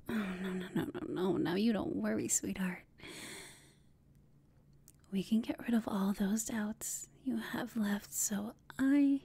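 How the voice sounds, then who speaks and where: A woman playing a confident, teasing female villain in an intimate ASMR roleplay, speaking in a smug, seductive, breathy whisper. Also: mid-range voice, dark tone, no room sound, exaggerated voice. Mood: mock reassuring.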